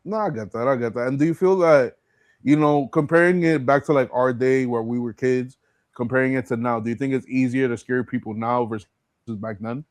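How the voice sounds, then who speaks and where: A man's voice: mid-range voice, a little fast, dry recording. Informal male podcast host, dry close sound. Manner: informal, slangy, sweary. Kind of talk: casual interview chat.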